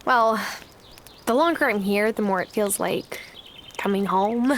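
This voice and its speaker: A woman playing a caring young newcomer to an island farm in a video game, gentle and reflective. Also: medium pitch, a little slow, slight background noise, lightly acted. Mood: wistful.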